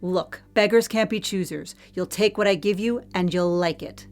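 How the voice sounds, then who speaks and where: A woman's voice: medium pitch, normal speed, soft background music, lightly acted. A woman acting in an audio drama as a hard-edged, unflappable head of security who speaks in pointed, sardonic questions. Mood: hard, resentful.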